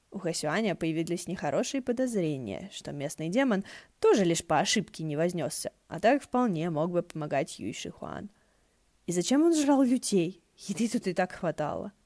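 Dark, mellow woman narrator, dry sound. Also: fairly low pitch, no room sound. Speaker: audiobook narrator. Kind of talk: scripted fiction narration, wry storytelling.

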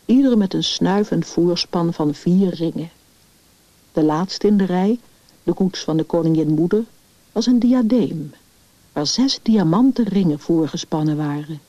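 A woman's voice: lowish voice, dark tone, no room sound. A woman narrating a scripted literary story as its narrator. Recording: dull, lo-fi radio recording.

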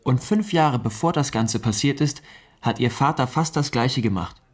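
Cocksure, husky young know-it-all. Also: male voice, medium pitch, expressive, normal speed. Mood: smug, insistent. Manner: confident, insistent, matter-of-fact. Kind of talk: radio drama acting.

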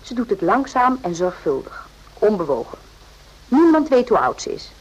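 An actress playing a calm, observant army doctor in a radio drama, speaking in a measured, husky voice. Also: female voice, medium pitch, muffled recording. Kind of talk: radio drama narration and dialogue.

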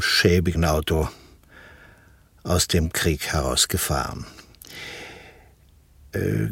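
An actor playing a weary, resigned doctor in a wartime radio drama, explaining himself hesitantly and deliberately. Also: male voice, deep voice, slightly rough, no echo, exaggerated voice. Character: weary, resigned male doctor fleeing the war. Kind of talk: dramatic radio play acting.

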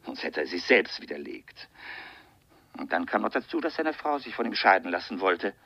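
Ein Schauspieler spielt in einem Krimi-Hörspiel einen weltgewandten Zyniker, der pointiert, theatralisch und mit bedachten Pausen spricht. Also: male voice, low voice, big pitch swings, husky, no room sound, lo-fi sound, heavily acted. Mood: cynical, musing. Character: worldly, cynical informant discussing a gambler's downfall. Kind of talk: German radio crime play acting.

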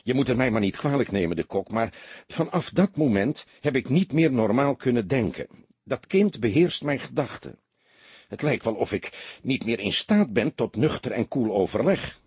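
A man's voice: medium pitch, lively intonation, moderate pace, a little mellow, slightly rough, no room sound. A man voicing a young, timid junior detective who gets defensive and stammers under pressure. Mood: rattled.

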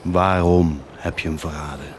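An actor playing a bitter priest turned traitor in a radio drama, speaking in a grave, measured, accusing manner. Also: male voice, low voice, steady tone, a little hiss or hum. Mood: accusing, sardonic. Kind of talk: theatrical radio drama acting.

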